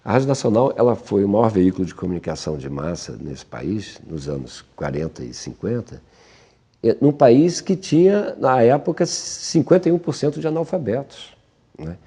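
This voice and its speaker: A man's voice: on the low side, sing-song, normal speed, dark tone, slightly rough. A man giving a reflective documentary interview, often ending phrases with a tag question.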